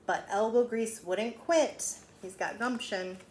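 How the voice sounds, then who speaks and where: A woman's voice: medium pitch, slow. Female storyteller for young children, emphatic teacherly read-aloud with asides, roomy echo and light background noise. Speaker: children's teacher reading a picture book aloud. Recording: echoey room with faint background noise.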